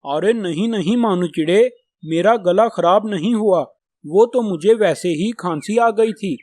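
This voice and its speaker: A man's voice: sits high, measured pace, darkish tone, dry recording. A man voicing a stubborn, greedy young male crow in a children's cartoon, with whiny, headstrong childlike talk. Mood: denying. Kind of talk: Hindi children's cartoon dubbing.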